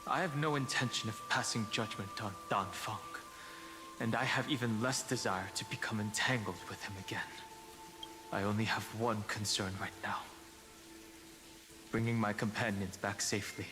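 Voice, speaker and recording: A man's voice: fairly low pitch, fairly even intonation, lightly acted. Stoic young warrior, voiced by a man, over music. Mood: firm, serious. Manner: serious, measured, terse. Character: stoic, reserved young male warrior companion. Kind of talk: scripted video game drama.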